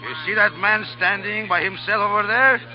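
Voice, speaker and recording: An actor playing a jovial pirate perfume hawker with stilted, singsong phrasing in 1930s radio drama style. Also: male voice, high-pitched, fairly even intonation, normal speed, lightly acted. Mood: amused, wary. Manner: singsong street cry, stilted broken phrasing. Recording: dull 1938 radio broadcast, music behind.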